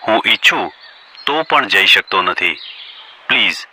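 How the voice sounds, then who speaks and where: A man's voice: on the low side, expressive, a little slow, sharp tone, breathy edge, full character voice. A man voicing a clever, polite donkey who speaks deferentially and coaxingly in a children's cartoon story. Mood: pleading. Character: clever, polite male donkey pleading with a lion king. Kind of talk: animated children's story voice acting.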